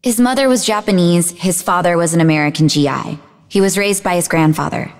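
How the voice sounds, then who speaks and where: An actress playing a sarcastic, defensive young woman in a video game, speaking in an expressive, conversational way. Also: lowish voice, brisk, big-room sound, lightly acted. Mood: wry. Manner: snarky, conversational, emotionally expressive. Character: sarcastic, defensive young woman, idealistic martial-arts student and researcher. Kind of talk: video game character dialogue.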